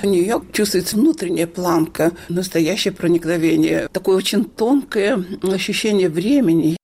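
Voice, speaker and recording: An older woman, a piano professor, speaking with expressive admiration. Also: fairly low pitch, normal speed, husky. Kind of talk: admiring commentary.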